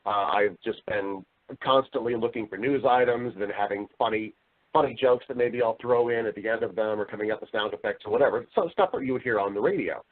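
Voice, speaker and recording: A man's voice: lowish voice, a little fast, phone-quality sound. A man speaking as a voiceover professional and interview guest, chatty and conversational, with some self-deprecating humor.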